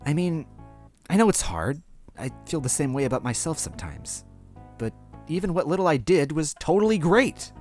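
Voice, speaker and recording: Male reader as young female creature sidekick, storybook, raised lively voice, casual, peppy, encouraging. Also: on the high side, normal speed, soft background music, pitched above the performer's own voice. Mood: gently encouraging.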